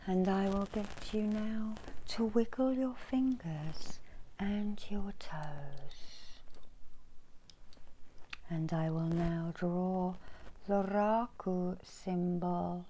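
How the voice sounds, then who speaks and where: A middle-aged woman leading an ASMR guided meditation in a soft, breathy, mostly whispered voice with long soothing pauses. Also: on the low side, steady tone, a little hiss or hum, poor audio. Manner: slow, hushed, soothing delivery with long pauses. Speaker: reiki healer and guided meditation host. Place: small indoor room.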